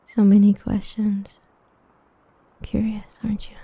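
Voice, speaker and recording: A young woman voicing an obsessive, protective half-demon villain in a hushed, intimate ASMR roleplay whisper. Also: medium pitch, completely flat delivery, slightly rough, no room sound, phone-quality sound, heavily acted. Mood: hushed menacing. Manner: hushed, intimate, slow and brooding.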